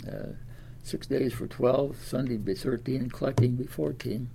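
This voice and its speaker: A man of ninety with an American Midwestern accent, reminiscing in a halting, rambling way with repeated words. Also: medium pitch, steady tone, vocal fry, mellow, a little hiss or hum. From Des Moines, Iowa, United States. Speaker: interviewee, World War Two veteran. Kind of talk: oral history reminiscence.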